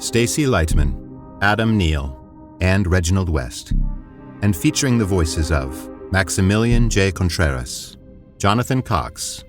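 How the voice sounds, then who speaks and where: Deep guy reading end credits, steady and formal, theme music playing under. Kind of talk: scripted credits narration.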